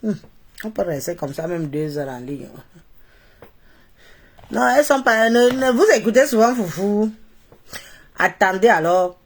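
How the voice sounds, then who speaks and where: A woman's voice: medium pitch, lively intonation, unhurried, pauses often. Female live streamer, Cameroonian accent, mid-range voice congested by a cold. Casual, opinionated, mocking delivery that hammers points by repetition. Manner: mocking, opinionated, repeats phrases for emphasis. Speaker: social media live streamer.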